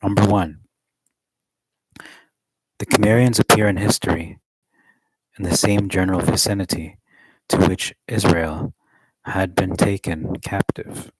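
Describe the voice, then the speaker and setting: A man reading a study text aloud in a measured way, adding occasional joking asides. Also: low voice, fairly even intonation, breathy edge, no echo, poor audio.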